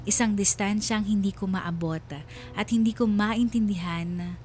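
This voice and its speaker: A woman's voice: mid-range voice, fairly even intonation, measured pace, darkish tone, natural voice. Woman acting sorrowful narrating wife, faint noise. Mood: troubled, sad. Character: sorrowful, homesick wife narrating her own story. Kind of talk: scripted dramatic narration.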